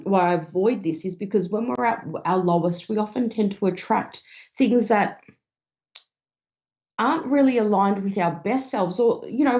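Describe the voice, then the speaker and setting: A woman hosting a psychic advice show, a little hoarse and talking earnestly with many fillers. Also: mid-range voice, warm tone. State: slightly hoarse, losing her voice. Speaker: psychic radio host. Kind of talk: casual advice talk. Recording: dull lo-fi stream.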